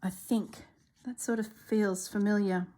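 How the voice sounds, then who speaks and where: A woman narrating her crafting work in a soft, relaxed voice, sometimes dropping to a whisper, congested and clearing her throat. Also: medium pitch, measured pace. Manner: soft-spoken, often drops to a whisper while working. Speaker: craft video maker.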